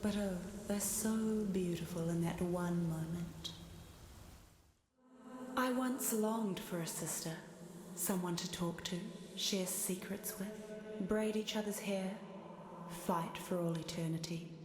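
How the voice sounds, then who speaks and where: A woman's voice: on the low side, slow. An actress voicing a haughty, wry female sword spirit who loves fire, in a video game. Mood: amused disdain. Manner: wry, theatrical, disdainful. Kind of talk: scripted video game voice lines. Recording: roomy, noisy game audio capture.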